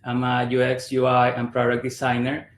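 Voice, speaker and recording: A man's voice: mid-range voice, monotone, normal speed. A young man from Ecuador hosting an online design meetup, chatty and friendly, with lots of fillers and restarts. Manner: friendly, many fillers and restarts, mixes in Spanish greetings. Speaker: meetup host. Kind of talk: casual online meetup introduction. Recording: roomy echo on a video call.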